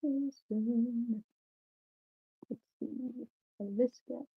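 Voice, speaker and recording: A woman's voice: on the high side, steady tone, extremely slow, creaky, slightly rough, phone-quality sound. A woman casually hosting a group discussion, calling on people for feedback. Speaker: host of an online screenplay table read.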